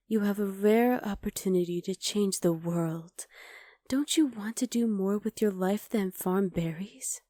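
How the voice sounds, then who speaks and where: A woman voicing a scheming, possessive witch in an ASMR roleplay, speaking softly and often half-whispering close to the mic. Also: mid-range voice, dry recording, lightly acted. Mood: coaxing. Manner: soft, intimate, coolly calculating, often half-whispered. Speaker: voice actor.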